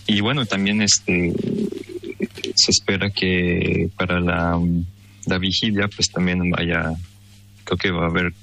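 A man's voice: sits low, level tone, takes it slowly, vocal fry, pushed and bright. A Mexican man interviewed by phone, answering thoughtfully with many fillers. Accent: Mexican Spanish. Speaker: interview guest.

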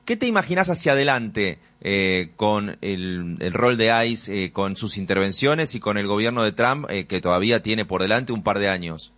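A man's voice: on the low side, expressive, normal speed, hardly any pauses, fairly bright tone. Man with a Rioplatense accent asking questions. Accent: Rioplatense Spanish. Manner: long, searching questions with fillers. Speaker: interviewer. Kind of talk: interview questions.

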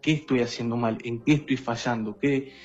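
A man's voice: mid-range voice, fairly even intonation, moderate pace, darkish tone, slightly rough. A man, preaching and testifying earnestly, repeating phrases as he addresses the listener. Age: 20s. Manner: earnest, repetitive, often addressing listener as brother. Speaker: guest lay preacher. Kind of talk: personal testimony and exhortation. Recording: dull lo-fi sound, faint music behind.

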